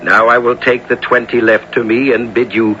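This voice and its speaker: An actor playing a wise, shrewd holy dervish with formal, measured delivery in a 1970s radio drama. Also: male voice, mid-range voice, flat delivery, close to an everyday voice. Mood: calm, knowing. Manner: measured, formal, gently ironic. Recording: muffled, noisy old radio recording.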